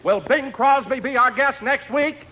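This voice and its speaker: Very high-pitched, melodramatic male radio announcer. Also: a little slow. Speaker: show announcer. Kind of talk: 1940s radio teaser announcing. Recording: muffled, very noisy 1940s radio recording.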